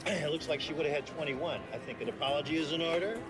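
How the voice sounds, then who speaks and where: Actor as a cocky gambler over loud music. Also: male voice, a little high, fast, lightly acted. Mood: smug. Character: cocky, stubborn male blackjack player at casino table. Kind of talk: TV comedy-drama acting. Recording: loud music behind, casino ambience.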